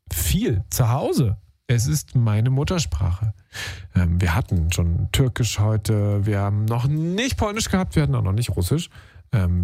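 A man hosting a late-night radio call-in show from a studio, bantering and teasing his callers in a playful, quick way. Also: mid-range voice, dark tone, no echo. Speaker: radio host. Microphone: studio microphone.

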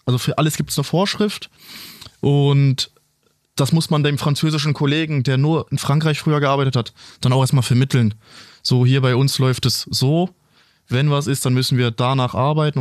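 A man's voice: mid-range voice, dry recording. A man whose first language is French, a police officer, recounting his work plainly and matter-of-factly in a studio interview.

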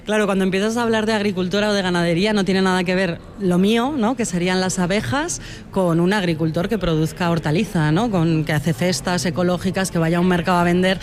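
A woman's voice: on the low side, steady tone, quick pace, slight background noise. A woman speaks earnestly about her work as a beekeeper and educator. She talks in a thoughtful, searching way and often asks "right?" as she goes. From Spain (Huesca, Aragón). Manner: thoughtful, earnest, frequent tag questions. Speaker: beekeeper and environmental educator, interviewee. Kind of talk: radio interview answers.

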